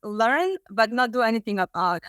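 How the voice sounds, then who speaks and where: A woman from Serbia with a Serbian accent, speaking English as a second language in a halting interview chat, full of "like" fillers. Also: on the high side, expressive, fairly bright tone, clear, resonant tone, dry recording, studio quality. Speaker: guest, student.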